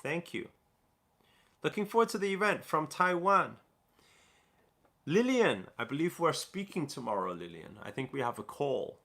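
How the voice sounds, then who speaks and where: A man from Newcastle hosts a casual livestream, reading out viewer comments and answering them. His voice is gravelly with a bright, forceful edge. He talks loosely, with lots of fillers and restarts. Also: on the high side, very animated, moderate pace, pauses often. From Newcastle, England. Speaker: livestream host.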